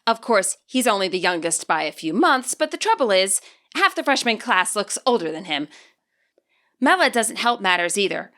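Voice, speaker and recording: Brief: woman author narrating her fan story, lively and bright. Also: mid-range voice, moderate pace. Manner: expressive, animated reading. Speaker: fanfiction author and podfic reader.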